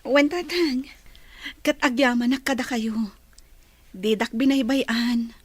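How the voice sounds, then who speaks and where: A woman's voice: fairly high pitch, slow pace, slightly rough, no room sound. A woman with an Ilocano Filipino accent acting a forgiving, dutiful young daughter in a radio drama. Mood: tender. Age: young adult.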